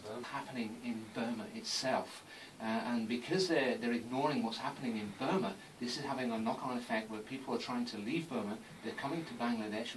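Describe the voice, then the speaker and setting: A man speaking as a radio interview guest, explaining emphatically and repeating phrases as he goes. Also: medium pitch. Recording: distant mic, clearly noisy background.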